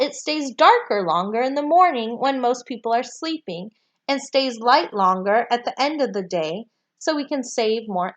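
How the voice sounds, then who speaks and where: High-pitched woman reading aloud. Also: a bit bright. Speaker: reader reading a children's book aloud. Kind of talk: read-aloud narration of a children's nonfiction book.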